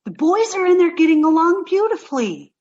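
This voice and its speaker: A woman's voice: very high-pitched, sing-song, fairly quick, warm tone, highs cut off, full character voice. A woman playing a naive, scatterbrained, cheerful young woman in an old-time radio comedy. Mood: breezy, content. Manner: guileless, earnest, scatterbrained.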